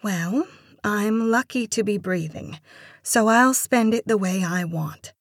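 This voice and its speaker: A woman voicing a meek, polite but resolute immigrant woman in an audiobook story, soft-spoken and pleading. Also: medium pitch, slow, no room sound, clean studio sound. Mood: earnest, firm.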